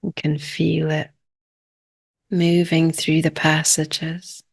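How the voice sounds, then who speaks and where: Slow, low-voiced woman guiding meditation. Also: vocal fry, slightly rough. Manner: calm, unhurried, hushed phrases with long pauses. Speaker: meditation guide. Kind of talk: guided meditation, improvised.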